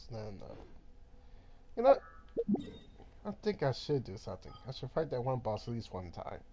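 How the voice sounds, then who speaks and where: A man live streaming a game with casual, informal commentary, muttering to himself between louder reactions. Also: mid-range voice, creaky, noisy background.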